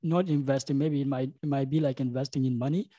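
A young man hosting a podcast interview, halting and full of fillers like "you know.". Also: mid-range voice, fairly even intonation, warm tone, no room sound. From South Sudan. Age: young adult. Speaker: podcast host. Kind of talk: casual interview. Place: home studio room. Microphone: studio microphone with headphones.

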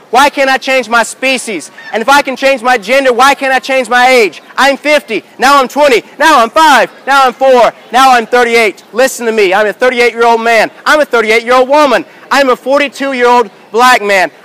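A man in his thirties preaching outdoors in a busy city square, shouting with emphatic, repetitive rhetorical questions. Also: very high-pitched, low-quality recording. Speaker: street preacher. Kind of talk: open-air sermon. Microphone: far handheld camera, no mic.

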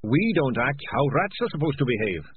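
A man voicing a small, earnest young male rat in a storybook, soft-spoken and sincere, recorded with a phone-like narrow sound. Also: medium pitch, very animated, fairly quick, slight background noise, voice pushed up, lightly acted. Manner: soft, sincere, slightly raised.

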